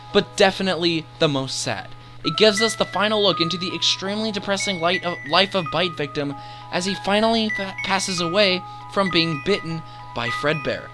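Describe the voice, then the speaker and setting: A man hosting a scripted top-ten video with enthusiastic, emphatic commentary. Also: high voice. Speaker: video creator and countdown host. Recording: music behind the voice. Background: music.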